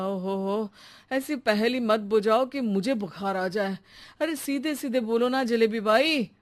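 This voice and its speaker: A woman plays a flustered husband and household boss in a comic cartoon. The voice sits rather high. He complains in exasperation and pleads to be spared. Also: takes it slowly. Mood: exasperated.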